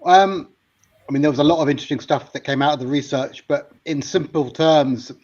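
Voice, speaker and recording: Higher-voiced man on dull lo-fi audio. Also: fairly even intonation, brisk, darkish tone. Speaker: panelist sharing research findings. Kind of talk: conversational explanation.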